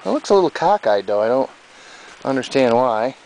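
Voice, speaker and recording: A man's voice: medium pitch, very animated, fairly quick, a little mellow, poor audio. A man giving casual, off-the-cuff commentary on his own mechanical project in a folksy, rambling way, talking outdoors into a handheld camera. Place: outdoors in an overgrown yard. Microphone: handheld camera mic. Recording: hissy handheld camera recording.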